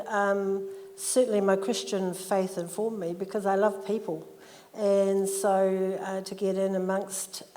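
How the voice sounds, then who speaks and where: A middle-aged woman with a New Zealand accent, chatting warmly with plenty of ums in a church interview. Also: medium pitch, slight background noise. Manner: warm and chatty, with many ums. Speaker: social worker. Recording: echoey hall with long reverb.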